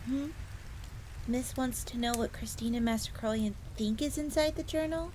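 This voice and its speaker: Woman doing a chatty fox maid, kinda high voice, polite servant type, fantasy roleplay, noisy fire crackle behind her. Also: moderate pace, natural voice. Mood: chatty, explanatory. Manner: refers to self in third person, informal, explanatory. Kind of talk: fantasy audio roleplay addressed to the listener. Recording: clear background noise, crackling fire ambience.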